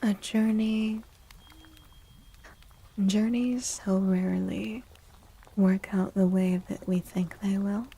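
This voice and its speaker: Slow, breathy soft-spoken ASMR woman. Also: mid-range voice, fairly even intonation, rough recording. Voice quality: breathy, whispery. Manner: gentle, soothing, unhurried. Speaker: livestream host. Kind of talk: soft-spoken ASMR, tarot reading and chatting with viewers.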